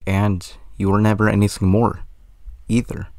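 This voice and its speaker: A man's voice: lowish voice, flat delivery, normal speed, a little mellow, natural voice. A man playing an earnest, kind-hearted teenage boy in a fan dub of a game cutscene, sincere and reassuring.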